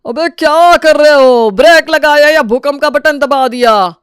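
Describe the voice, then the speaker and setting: A woman's voice: high-pitched, measured pace, no echo, clean studio sound. A woman voicing grumpy, indignant men in a family story, exasperated and scolding.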